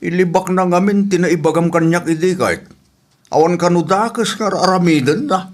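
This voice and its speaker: A man's voice: high-pitched, moderate pace, lightly acted. A man with an Ilocano Filipino accent playing a stern father scolding his wayward daughter in a radio drama. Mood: scolding, ashamed.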